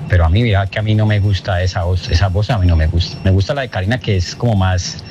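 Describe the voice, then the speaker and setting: A man's voice: low voice, fast. A man from Cali, Colombia, with a Cali accent, calling in to a radio show, chatty and full of local slang. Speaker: caller. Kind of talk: phoned-in voice note. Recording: dull lo-fi phone audio with noise.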